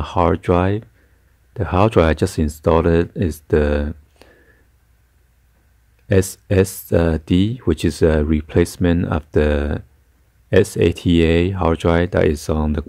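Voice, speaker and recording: A man giving a casual hands-on tech tutorial in a halting, hesitant way. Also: deep voice, warm tone, no echo, studio quality. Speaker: tutorial host. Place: carpeted room indoors.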